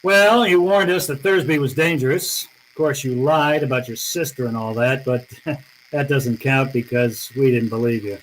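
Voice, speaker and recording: A man's voice: mid-range voice, moderate pace, slight background noise, close to an everyday voice, rough recording. An American man playing a tough, wry private detective in old-time radio noir style, with slangy, world-weary delivery. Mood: amused, skeptical. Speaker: actor narrating and playing the lead.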